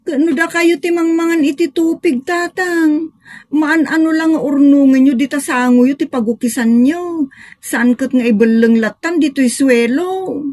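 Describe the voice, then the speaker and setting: A woman's voice: high-pitched, normal speed, darkish tone, natural voice. A woman playing a plaintive, cheeky young daughter with an Ilocano accent, complaining expressively in a comedic audio drama.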